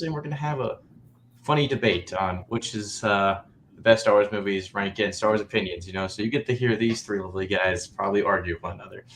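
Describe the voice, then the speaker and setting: A man with a lowish, slightly hoarse voice hosts a casual podcast. He chats loosely, laughs often and keeps tossing in "you know". Faint noise sits under his voice. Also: a bit bright. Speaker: podcast host. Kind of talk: casual chat.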